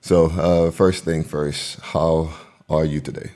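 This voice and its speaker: A man hosting a casual podcast interview, speaking haltingly with many fillers and restarts. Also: a bass voice, little pitch movement, dark tone. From Liberia. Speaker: podcast host.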